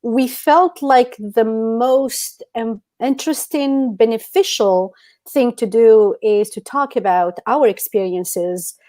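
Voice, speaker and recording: A middle-aged woman, speaking English as a later language and telling stories in an expressive, informal way as a podcast co-host. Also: a little high, takes it slowly. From Algeria. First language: not English. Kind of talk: casual conversational storytelling.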